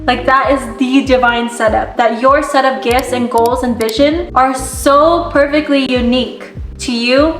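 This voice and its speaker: A woman's voice: high voice, fairly even intonation, a little slow, hardly any pauses, fairly bright tone. A young woman giving a casual motivational talk straight to her viewers, earnest and full of "like" fillers, her voice a bit worn and sniffly. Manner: earnest, frequent "like" fillers, direct address. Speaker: self-help content creator. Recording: echoey room with faint music.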